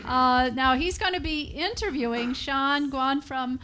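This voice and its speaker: A woman with a high voice acting as emcee at a tech event, welcoming speakers to the stage. Her delivery is brisk with some filler "uh"s, and faint noise sits under her voice. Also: bright, forceful tone. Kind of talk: stage introduction.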